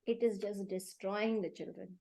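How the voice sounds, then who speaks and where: A middle-aged woman with an Indian accent speaks as a reading teacher in an online class. Her voice sits at an ordinary female pitch. She explains things emphatically and with firm opinions, in a conversational, animated way. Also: moderate pace, gravelly, rough recording. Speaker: reading teacher and parenting expert. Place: online video call.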